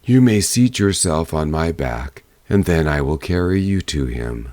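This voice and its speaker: A man voicing a helpful, plain-spoken male wind spirit in a fairy tale, in measured speech. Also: rumbling low, lively intonation, a little mellow, no echo. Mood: obliging.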